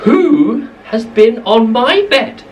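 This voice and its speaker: A woman voicing a grumpy, displeased father bear in a storybook tale, with slow, emphatic questions. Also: a little high, big pitch swings, distant mic, slight background noise.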